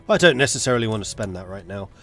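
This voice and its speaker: A lower-voiced man streams a strategy game, his voice stuffy and congested from a cold. He chats with viewers and mutters his plans half to himself. Soft music plays faintly behind him. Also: very fast. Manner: chatty, muttering to himself while planning. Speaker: gaming streamer. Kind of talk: casual live gameplay commentary.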